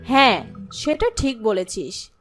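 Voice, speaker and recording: Haughty fairy-tale queen, spoiled and self-satisfied, very lively high delivery. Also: slow pace, faint music behind, exaggerated voice. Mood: smug. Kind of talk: Bengali fairy-tale cartoon acting.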